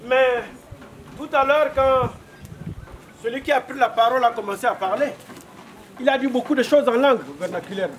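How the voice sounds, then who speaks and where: A man with a Gabonese accent, speaking for a visiting wedding delegation with polite, measured formality in a courtyard. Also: very high-pitched, fairly bright tone, slight background noise. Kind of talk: formal ceremonial speech. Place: outdoor courtyard.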